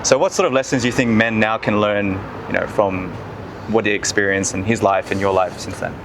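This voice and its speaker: A man's voice: fairly low pitch, fairly even intonation, brisk. A man asking questions in a casual, conversational way as an interviewer. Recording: noisy urban background hum.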